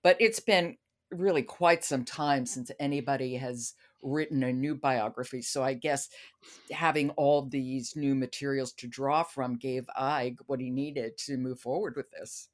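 A woman's voice: a bass voice, steady tone, measured pace. A woman hosting a podcast in a conversational book discussion. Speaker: podcast host.

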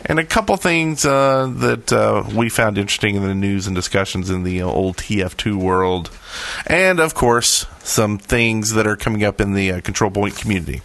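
A man's voice: lowish voice, lively intonation, normal speed, vocal fry, a bit bright. A man co-hosting a gaming podcast in casual banter, with a joking, mock-serious manner. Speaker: podcast co-host.

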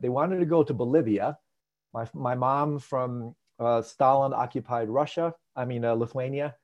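A man hosts an online group call, talking casually with plenty of asides and jokes. His voice has a mellow, warm color. The audio comes through a dull, lo-fi video call connection. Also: medium pitch. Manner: animated, jokey, digressive. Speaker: host and meditation teacher. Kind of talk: casual teaching talk and Q&A.

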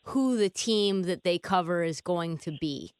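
A woman's voice: on the low side, animated, unhurried, darkish tone, clean tone, dry recording, studio quality. A woman hosting a sports talk show in a conversational style.

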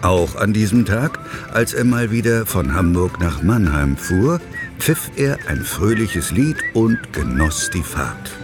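A man narrating a scripted children's audio play as an expressive storyteller. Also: deep voice, slow pace. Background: music, vehicle sounds.

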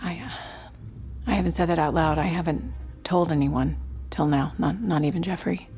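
Low-voiced, desperate dying woman scientist. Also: steady tone, darkish tone, breathy edge, heavily acted. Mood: grave, confessional. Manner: halting, hesitant delivery. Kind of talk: naturalistic audio drama acting.

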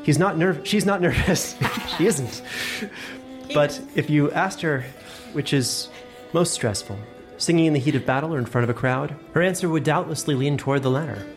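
A man's voice: a little high, normal speed, music in the background. A man giving scripted narration for a fantasy story.

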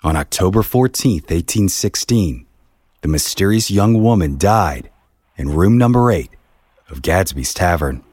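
Very deep-voiced man narrating a story. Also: expressive, unhurried, a little mellow, breathy edge. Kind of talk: scripted documentary-style storytelling narration.

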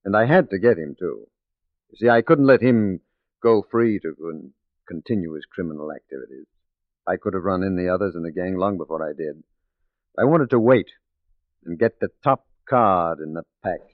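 An American actor in 1940s radio style playing a shrewd police detective posing as a crook, commanding, deliberate and theatrical. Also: male voice, deep voice, expressive, no room sound. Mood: gentle. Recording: dull vintage 1940s radio recording.

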